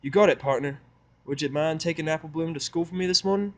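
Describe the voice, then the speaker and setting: A man's voice: on the high side, fast talker, pitched above the performer's own voice, lightly acted. A man voicing a friendly, sly country mare who runs a farm, in a folksy audio drama style. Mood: coaxing. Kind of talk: fan fiction audio drama acting.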